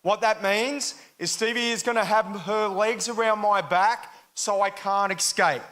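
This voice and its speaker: A man with an Australian accent talks a live audience through a sports demonstration on a microphone. His voice is high for a man and bright, with some rasp to it. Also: measured pace. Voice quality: raspy. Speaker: jiu-jitsu demonstrator. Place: live function room at a club.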